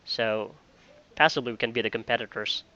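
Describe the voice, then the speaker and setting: A man in a casual job interview call, speaking with a bright, clear voice. He talks haltingly, circling back over phrases as he explains things. The audio is a little dull, like an online call. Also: medium pitch. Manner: halting, repeats phrases, often says "you know". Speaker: virtual assistant interviewee.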